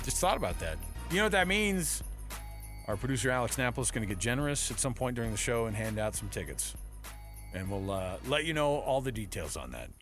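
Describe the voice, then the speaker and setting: A man hosting a sports radio show, talking casually in an animated, enthusiastic, conversational way. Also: mid-range voice, fairly quick, faint music behind.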